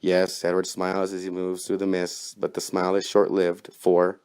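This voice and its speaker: A man's voice: low voice, monotone, normal speed, darkish tone, smooth. A man narrating a horror tale in a theatrical, wry storytelling style. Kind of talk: dramatic scripted horror storytelling.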